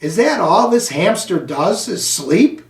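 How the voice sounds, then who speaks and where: A man's voice: high voice, sing-song, slow pace, full character voice. A man voicing a stern, unsmiling older woman schoolteacher from a children's storybook. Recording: echoey room, distant microphone.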